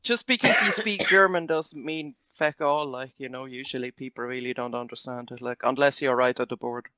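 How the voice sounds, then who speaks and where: A man's voice: mid-range voice, a little fast, bright, forceful tone, clear, resonant tone, no room sound, muffled recording. A man playing in a tabletop game, chatty and hesitant, with many fillers. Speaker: player. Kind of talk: casual tabletop play.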